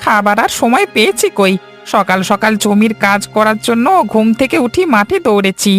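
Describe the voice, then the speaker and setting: A woman voicing a hardworking village farm woman with expressive delivery in a children's fairy-tale cartoon. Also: medium pitch, moderate pace, soft background music, natural voice. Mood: busy, agreeable.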